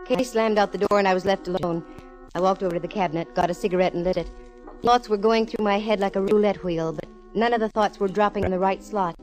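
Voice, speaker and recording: A woman's voice: mid-range voice, fairly even intonation, quick pace, loud music behind the voice, natural voice, rough recording. An actress playing a cool, wisecracking female private eye in a 1940s radio drama, talking and narrating in a wry, hard-boiled manner. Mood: hard-boiled narration. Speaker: lead actress in radio detective show. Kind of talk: 1940s radio acting, first-person narration. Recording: vintage 1940s radio recording.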